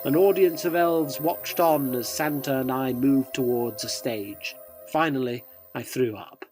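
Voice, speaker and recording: A man's voice: medium pitch, a little slow, a little mellow, faint music behind, lightly acted. A man playing a cynical, self-loathing tabloid journalist in a radio drama, wry, wordy and theatrical. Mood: wry narrating. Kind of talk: radio drama narration and dialogue.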